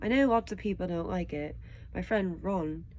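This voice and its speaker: A woman's voice: lowish voice, moderate pace, darkish tone, close to an everyday voice. Woman reading as an earnest, worried schoolboy. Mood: apologetic. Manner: earnest, protesting. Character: earnest, worried twelve-year-old schoolboy. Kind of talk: storybook character reading.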